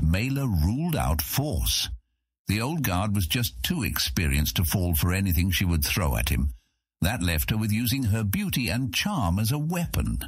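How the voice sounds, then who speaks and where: A man with a husky voice reading scripted fantasy narration as an audiobook narrator. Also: low voice, no echo, studio quality.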